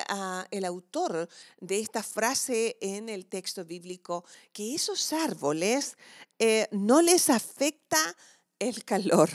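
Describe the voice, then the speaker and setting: A woman's voice: mid-range voice, no room sound, clean studio sound. A woman giving a conversational devotional sermon, reflective and emphatic, drawing out key words. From southern Chile.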